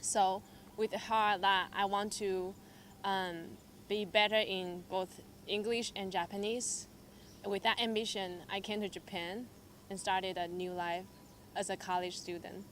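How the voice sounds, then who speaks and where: A woman's voice: mid-range voice, unhurried. Young woman from taiwan, english speaker, personal testimony, lots of ums and likes, slight background noise. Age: young adult. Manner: hesitant, filler-heavy storytelling with lively quoted asides. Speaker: church member sharing testimony.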